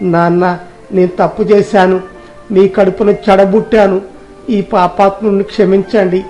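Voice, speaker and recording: Man playing high-voiced, dramatic wayward son. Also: warm tone, lightly acted, low-quality recording. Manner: dramatic, pleading. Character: selfish grown son, frightened, protesting, then remorseful. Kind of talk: dramatised moral story.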